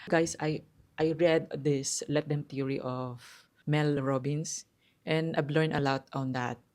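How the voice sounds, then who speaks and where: A middle-aged woman with a low, hard, tight voice, talking through a numbered list of life advice in an earnest, direct way with loose phrasing. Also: steady tone, slow pace, darkish tone. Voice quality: low, hard and tight voice, mistaken for a man's on the phone. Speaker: self-help vlogger. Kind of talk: casual advice talk, counting down a numbered list. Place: quiet spot at home.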